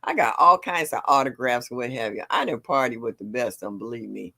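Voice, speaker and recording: Older lady w/ a real deep voice, chatty, laughs a lot, lively bright tone that swings up and down. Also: a little fast. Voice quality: deep low voice. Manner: chatty, laughs often, greets viewers warmly. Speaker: livestream host. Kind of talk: casual chat reading viewer comments.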